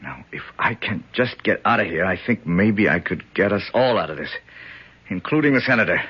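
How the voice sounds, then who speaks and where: A man's voice: low voice, lively intonation, normal speed, husky. An actor playing a wry, dogged tough-guy hero in a 1970s radio drama. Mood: earnest resolve. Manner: wry, hard-boiled. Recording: muffled, noisy 1970s radio broadcast.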